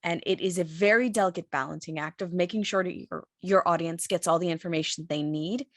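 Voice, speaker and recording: A woman giving considered, articulate answers on a panel in a measured way, pausing mid-sentence. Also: fairly low pitch, no echo, clean studio sound. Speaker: audio drama writer and panelist.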